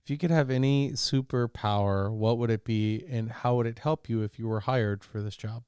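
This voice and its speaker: A man with a clear, smooth voice at a medium pitch, recorded without any room echo. He banters casually as a podcast co-host, often playfully mock-serious. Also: steady tone, moderate pace, darkish tone, clean studio sound.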